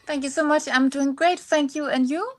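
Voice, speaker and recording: Casting: Danish woman singer-songwriter as interview guest, high voice, Danish accent, hesitant non-native phrasing. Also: fairly even intonation. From Denmark. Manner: halting, searching for English words. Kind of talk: personal interview answers.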